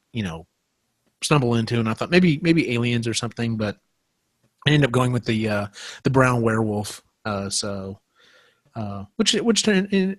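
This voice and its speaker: A man's voice: mid-range voice, no room sound. A man hosting a casual panel chat, rambling a little as he feels for words. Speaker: podcast host.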